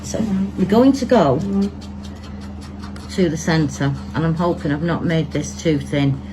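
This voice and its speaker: A woman's voice: deep voice, expressive, measured pace, noisy background. A woman with a Manchester accent, chatting and thinking aloud as she demonstrates a resin craft project at her table. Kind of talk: casual hands-on tutorial.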